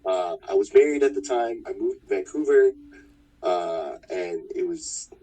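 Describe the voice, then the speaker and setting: A man in his thirties from Manitoba, a fighter talking about his past in a relaxed, rambling way. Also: deep voice, a little slow, far from the mic, faint music behind, poor audio. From Winnipeg, Manitoba, Canada. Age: 30s. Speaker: fighter, interview guest. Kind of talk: casual interview chat.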